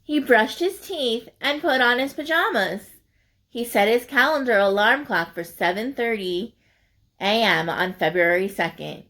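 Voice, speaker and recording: Female children's read-aloud teacher: unhurried, higher-pitched, sing-song, explains as she goes. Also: fairly bright tone. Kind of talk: picture-book read-aloud.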